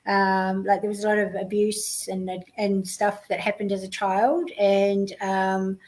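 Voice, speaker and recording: A middle-aged woman from New Zealand, a guest speaking softly and hesitantly, with many fillers. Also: medium pitch, little pitch movement, measured pace. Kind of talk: casual interview talk.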